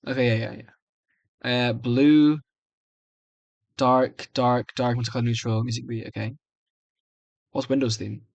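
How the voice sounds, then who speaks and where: A young man giving casual, hesitant, rambling commentary as he tries out software on screen, often muttering reactions to himself. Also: medium pitch, steady tone, measured pace, pauses often, dry recording, dull audio. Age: young adult. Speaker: video creator. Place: small room indoors.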